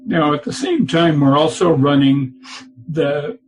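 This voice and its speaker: A man in his eighties, a guest in a casual interview, speaking haltingly and pausing to search for details. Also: fairly high pitch, noisy background.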